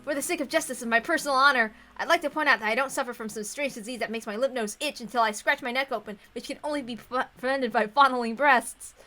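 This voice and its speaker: A woman's voice: on the high side, fast, few pauses, sharp tone. A woman reading a visual novel aloud expressively, with asides to her audience.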